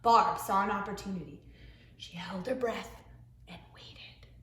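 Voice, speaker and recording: A woman, a preschool teacher, reading a picture book aloud to young children in an expressive way, with hushed asides. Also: medium pitch, measured pace, slight background noise. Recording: echoey room.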